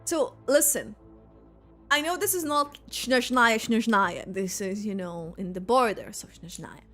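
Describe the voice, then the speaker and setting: A woman giving casual, excitable, rambling reaction commentary while playing a video game. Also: fairly high pitch, unhurried, soft background music.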